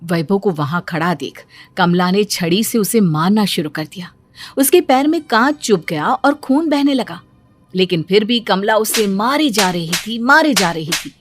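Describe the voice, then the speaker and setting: A woman tells a scripted cartoon story in a medium-pitched voice. She narrates as a storyteller, with soft music underneath.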